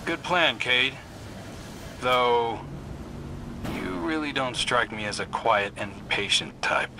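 A man's voice: mid-range voice, expressive, takes it slowly, background noise. An actor playing an earnest, dryly teasing young male scout in a video game. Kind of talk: scripted game dialogue. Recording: loud music and game sounds behind.

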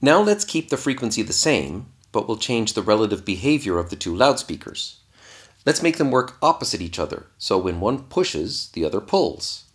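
Low-voiced man narrating with wide pitch swings. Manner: didactic, step-by-step explaining. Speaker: instructor. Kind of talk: scripted explanatory narration.

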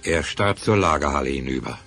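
A man's voice: extremely low pitch, animated, brisk, creaky, sharp tone, soft background music, exaggerated voice. A man as the composed, slightly wry narrator of a scripted crime adventure radio play.

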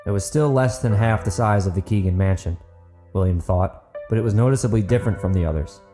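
A man's voice: lowish voice, fairly quick, dark tone, faint music behind. A man reading dramatic fiction as an audiobook narrator, in a scripted storytelling style.

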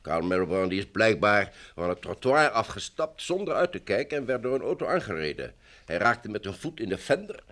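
Formal official, low animated voice. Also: male voice, fairly quick. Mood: matter-of-fact. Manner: formal, deferential, explanatory. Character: formal, obliging Swiss official, assured and polite. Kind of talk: old Dutch radio drama acting.

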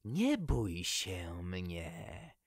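A narrator voicing a small, gentle male grass snake with polite, drawn-out, careful speech in a children's story. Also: sits low, huge pitch swings, vocal fry, no room sound, studio quality, voice pushed down, full character voice. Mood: reassuring.

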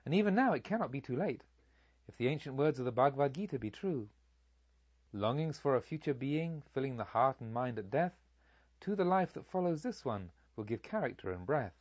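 A man's voice: mid-range voice, animated, fairly quick, darkish tone, dry recording, natural voice. Serene, confident female spirit read by a male narrator, earnest teacher in a storybook, slightly dull recording. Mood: earnest, hopeful.

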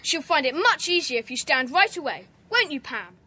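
Woman acting very high-voiced plucky schoolboy. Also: very animated, moderate pace, pushed and bright, heavily acted. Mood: urging, reassuring. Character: plucky, defiant young schoolboy. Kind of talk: children's audio drama acting. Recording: faintly noisy cassette audio drama.

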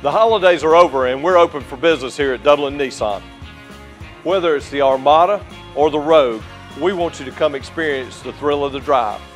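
Man pitching cars over light music. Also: brisk. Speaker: car dealership ad presenter. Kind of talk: scripted car dealership ad. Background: music bed.

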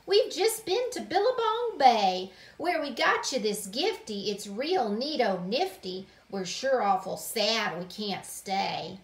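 A woman voicing a cheerful, folksy, loud travelling aunt in a rhyming children's storybook, full of exclamations. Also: on the high side, sing-song, takes it slowly, few pauses, a little hiss or hum, exaggerated voice. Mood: hearty, excited. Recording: roomy echo.

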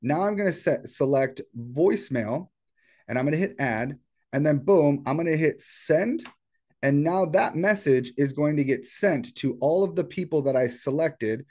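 A man presenting an informal step-by-step software tutorial in a casual, explanatory way. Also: a little high, lively intonation, moderate pace. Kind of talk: casual screen-share tutorial. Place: indoors at a computer.

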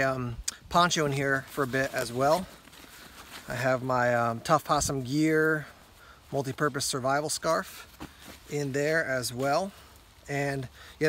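A man giving a casual hands-on gear walkthrough outdoors, pausing with ums and correcting himself as he talks. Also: fairly high pitch, pushed and bright, a little hiss or hum. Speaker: gear reviewer. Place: outdoors on a rock among plants.

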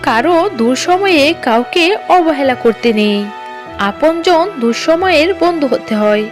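Woman, cartoon story narrator, high pitch, scripted delivery, faint music behind. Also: animated, slow.

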